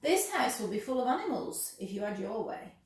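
A woman's voice: a little high, very animated, a little fast. A woman reading aloud voices a patient, sleepy father in a mild, drowsy way. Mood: weary. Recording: echoey room, distant mic.

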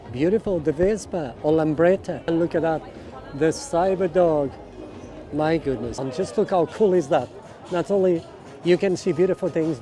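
Enthusiastic middle-aged man, higher-pitched voice. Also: dark tone. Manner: enthusiastic, exclaiming, often repeating phrases. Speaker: vlogger and walking tour guide. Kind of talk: casual walking tour commentary. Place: busy outdoor street market. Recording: outdoor crowd noise, background music. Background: music and crowd chatter.

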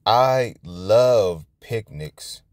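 Man playing storybook daddy pig, deep, slow, hearty, easygoing. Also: expressive, dry recording, studio quality. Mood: jolly. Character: jolly, easygoing father pig.

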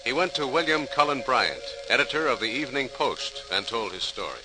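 A man's voice: mid-range voice, lively intonation, fairly quick, music in the background, poor audio. A man narrating a 1940s radio program from a script in a formal, dramatic manner. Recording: old radio recording with faint noise.

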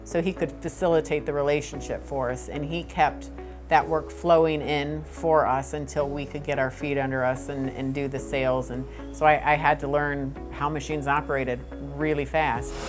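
Middle-aged woman exec, lowish voice, lapel mic, music bed underneath. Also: steady tone, moderate pace. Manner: conversational, many fillers and restarts. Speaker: company president. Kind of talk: shop tour interview. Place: studio set, then machine shop floor.

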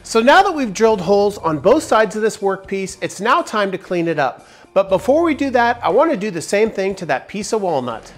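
Upbeat guy in his 40s walking through a woodworking build in his shop, lively up-and-down voice, faint music under it. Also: sits high, brisk, few pauses. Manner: upbeat, step-by-step explaining. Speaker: woodworking channel host. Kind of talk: workshop tutorial narration. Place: large wooden workshop, indoors.

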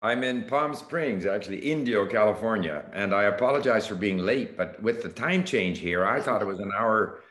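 An older man from Canada on a video call, speaking in a measured, thoughtful way with fillers. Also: on the low side, expressive, a bit bright, roomy sound. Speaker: panel guest, language creator. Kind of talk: casual video call chat. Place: room at home.

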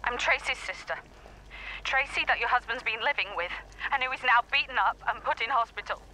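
High-voiced, angry, accusing sister. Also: female voice, brisk, bright, forceful tone, slight background noise, poor audio. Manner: blunt, scornful. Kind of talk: modern radio drama acting.

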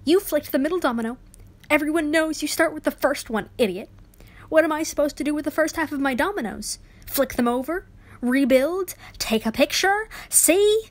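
Woman as high-voiced, lonely, over-polite male doormat for radio comedy. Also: lively intonation, moderate pace. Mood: indignant. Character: meek, polite, lonely male loser named Todd. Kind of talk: radio play comedy acting.

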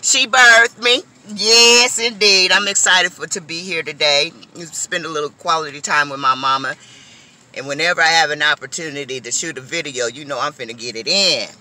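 Middle-aged woman food vlogger, bright and lively, talks straight to viewers from her car. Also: sits low, moderate pace. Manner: chatty, animated, addresses viewers as y'all. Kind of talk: casual eating vlog. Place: inside a car.

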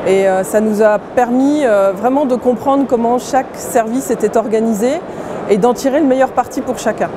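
A woman's voice: a little high, moderate pace. A middle-aged woman, a mayor answering an interview question to camera. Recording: clear urban ambient noise behind.

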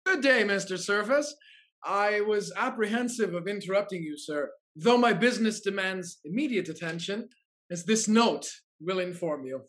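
A man's voice: high-pitched, lively intonation, moderate pace, fairly bright tone. An actor in his thirties playing a loyal, deferential old family steward in a period stage comedy, formal and hearty. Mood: cheerful, deferential. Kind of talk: theatrical period comedy acting. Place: room at home on a video call.

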